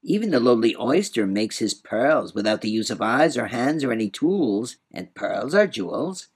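A man's voice: medium pitch, very animated, moderate pace, husky. A man voicing a whimsical, boastful, riddling old man in a storybook reading, with proud, sing-song, muddled wordplay. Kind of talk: storybook character voice in audiobook reading.

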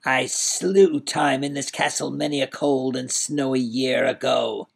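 A man voicing a cold, cruel, raging villain duke in a storybook, theatrical and imperious, with a harsh rasp. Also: medium pitch, steady tone, measured pace, voice pushed up. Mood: menacing. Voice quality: raspy, harsh voice. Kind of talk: dramatised storybook narration.

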